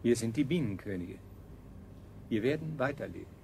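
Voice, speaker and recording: A man's voice: medium pitch, expressive, normal speed, creaky, slight background noise. A man playing a self-important member of a ruling scientist elite in a 1970s German radio play, pompous and declamatory. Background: loud music.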